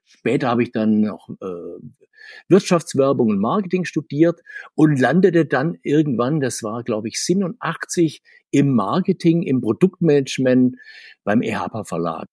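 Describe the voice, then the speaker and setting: Middle-aged male guest, husky voice, dry close sound, reflective storyteller. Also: medium pitch, big pitch swings, normal speed, a little mellow, dry recording. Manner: rambling, self-correcting, reflective. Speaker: guest, former comics publishing manager. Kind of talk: casual interview.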